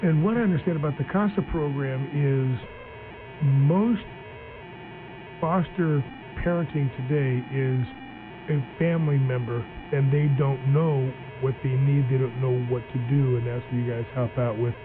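A man's voice: mid-range voice, measured pace. A man hosting a casual radio interview, chatty and upbeat, often restarting his sentences. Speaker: radio talk show host. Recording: tinny phone-like broadcast with music.

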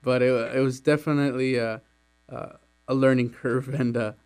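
Young man, from Mexico, hesitant, lots of fillers, soft laughs, faint electrical hum. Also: medium pitch, little pitch movement, moderate pace, clear, resonant tone. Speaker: employee interviewed about his immigrant journey. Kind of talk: casual interview.

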